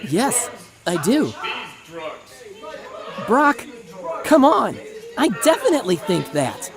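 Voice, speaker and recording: A man's voice: sits high, wildly swooping pitch, slow, gravelly, over music, voice pushed up, heavily acted. A man voicing a naive, eager young boy adventurer in a story, melodramatic and over-earnest.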